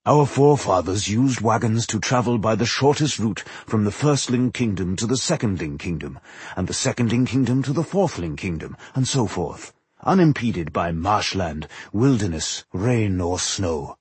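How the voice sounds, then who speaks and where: Man playing an old, husky dwarf king. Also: on the low side, animated, moderate pace, dry recording. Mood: knowing, proud. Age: older. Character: aged, frail dwarf High King, wise and measured.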